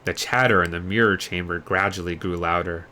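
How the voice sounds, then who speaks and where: A man reading a story aloud as a streamer, in an expressive storybook narration style. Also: low voice, fairly quick, slight background noise.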